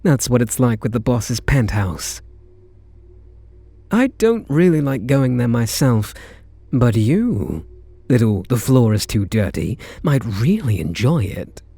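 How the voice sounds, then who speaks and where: A British man playing a charming, teasing kidnapper who is softening toward his captive, in an intimate ASMR roleplay. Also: mid-range voice, big pitch swings, slow pace, creaky, husky, no room sound, exaggerated voice. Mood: wistful, teasing. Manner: teasing, flirtatious, murmuring pet names.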